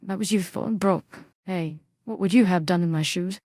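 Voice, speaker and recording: An actress playing a young private detective in a video game, sardonic, guarded and formally polite. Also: female voice, lowish voice, normal speed, a little mellow, dry recording. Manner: dry, wry, formally polite. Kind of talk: video game dialogue and narration.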